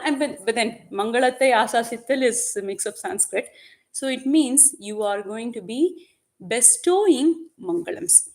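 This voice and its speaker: Tamil-speaking woman giving a religious class in english, asks questions then answers them, drops in tamil and sanskrit words. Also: fairly high pitch. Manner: explanatory, asks and answers her own questions; mixes in Tamil and Sanskrit terms. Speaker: religious teacher. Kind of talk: devotional lecture to a group.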